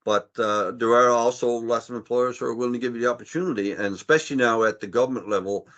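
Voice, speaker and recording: A middle-aged man with a deep, strong voice, speaking earnestly on a panel, repeating words and getting loud when excited. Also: fast, lo-fi sound. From Canada. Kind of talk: panel discussion.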